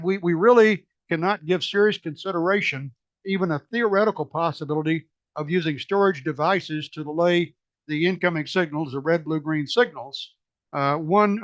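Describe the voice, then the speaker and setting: A man presenting a technical slide talk at a museum society meeting, hoarse and losing his voice, halting with many fillers and restarts. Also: a little high, a bit bright, dry recording, lo-fi sound. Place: meeting room.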